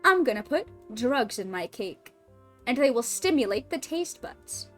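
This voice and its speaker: A woman's voice: on the high side, very animated, unhurried, faint music behind. A young woman streaming a game, joking and swearing in casual chat. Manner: jokey and playful, swears freely.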